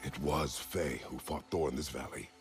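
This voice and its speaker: An actor playing a stern, brooding older warrior father in a video game, speaking in terse, grave phrases with a very deep, gravelly voice. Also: fairly even intonation, moderate pace. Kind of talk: video game drama acting. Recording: music behind the voice.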